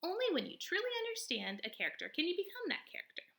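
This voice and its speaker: A woman's voice: extremely high pitch, huge pitch swings, quick pace, gravelly, pushed and bright, voice pushed up, full character voice. A woman voicing a chatty female possum in a storybook, dramatic, boastful and easily startled. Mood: enthusiastic. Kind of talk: storybook character read-aloud.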